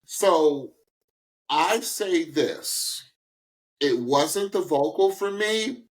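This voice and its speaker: A man speaks as a podcast host in a loose, informal style. His pitch moves up and down a lot as he gives opinions, and he leans on repeated words to press his point. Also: drawn-out words, rough recording. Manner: animated, emphatic, informal, repeats words for emphasis. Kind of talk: casual reaction podcast talk, giving opinions.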